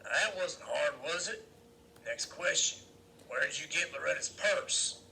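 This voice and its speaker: Man acting a menacing, sharp-voiced biker gang boss. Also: a little high, measured pace, vocal fry, slight background noise, full character voice. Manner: curt, taunting, commanding. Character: menacing, mocking male motorcycle gang boss.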